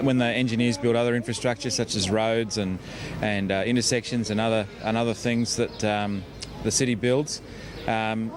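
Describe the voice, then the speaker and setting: A man with an Australian accent, a local councillor, answering interview questions in halting, measured official phrasing. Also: medium pitch. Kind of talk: on-location interview. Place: outdoor city mall. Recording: outdoor mall with busy crowd noise.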